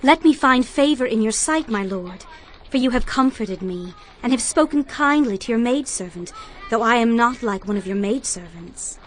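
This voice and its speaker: An actress playing a humble young widow from a foreign land, earnest and heartfelt, in a dramatized audio Bible. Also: female voice, a little high, lively intonation, normal speed, close to an everyday voice. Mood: humble, grateful. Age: young adult. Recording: dramatic music bed under the voice.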